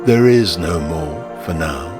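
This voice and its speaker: A man playing a grave, courteous barman in a radio story, speaking in formal, measured phrases, pleading and ominous. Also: a bass voice, lively intonation, over music, pitched below the performer's own voice, exaggerated voice. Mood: firm, pleading. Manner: formal, measured, addresses customer as sir. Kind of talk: dramatic radio short-story acting.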